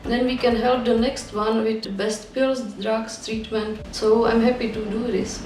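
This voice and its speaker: A woman's voice: on the high side, flat delivery, measured pace, darkish tone, faint music behind. A young woman from the Republic, a PhD researcher speaking earnestly and upbeat in a video testimonial. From Czech Republic. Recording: echoey room, distant mic.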